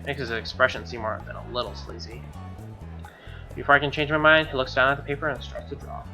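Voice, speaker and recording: A man's voice: medium pitch, fast talker, bright, forceful tone. A man reading a visual novel aloud as a let's play host, with wry, joking casual asides. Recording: dull lo-fi sound, music behind.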